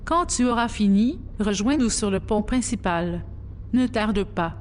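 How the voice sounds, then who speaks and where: A woman playing a brisk, businesslike kidnapper in an audio drama, giving curt directions. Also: medium pitch, expressive, a little hiss or hum, close to an everyday voice.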